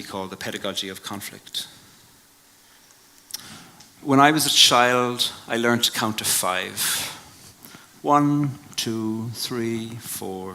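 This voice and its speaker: A low-voiced Irish man speaking at a live venue, reflective and measured as he moves between talk and poetry. His tone is bright and tense, and his phrases sink into quiet endings. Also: creaky. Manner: measured and reflective, drops to a hush at line ends. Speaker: poet giving a talk. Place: live venue before an audience.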